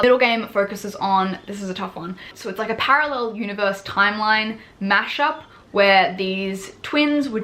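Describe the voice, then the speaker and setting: Young woman, book vlogger, rapid chatty delivery, wry asides, home study. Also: medium pitch, a bit bright. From Australia. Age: 20s. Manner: chatty, self-deprecating, quick asides. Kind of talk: casual monthly reading wrap-up. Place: small home study, indoors.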